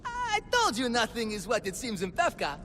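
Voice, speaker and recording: A man's voice: wildly swooping pitch, normal speed, a bit bright, long reverb tail, a little hiss or hum, heavily acted. An actor playing a cowardly man who pretends to be a roaring Minotaur, then drops it and pleads meekly, stammering. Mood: pleading. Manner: booming fake threats, then meek and stammering.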